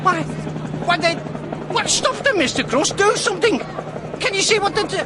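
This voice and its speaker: An actor playing a chatty, excitable small-time chancer in a radio drama, with a Liverpool accent and a rambling, matey manner. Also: male voice, very high voice, moderate pace. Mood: excited, alarmed. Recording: busy outdoor crowd and traffic behind. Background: street crowd, vehicles.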